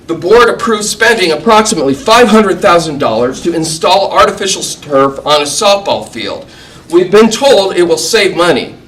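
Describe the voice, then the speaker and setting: A man giving a prepared, critical public comment at a school board meeting, in a pointed, measured delivery. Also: sits high, fairly bright tone. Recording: distant mic, faint room noise.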